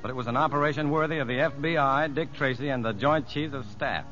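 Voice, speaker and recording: An actor playing an earnest, rueful prison psychologist in a 1950s radio drama, speaking and narrating in a measured, educated way. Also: male voice, medium pitch, close to an everyday voice. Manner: measured, educated, gently self-deprecating. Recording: muffled old radio broadcast with music.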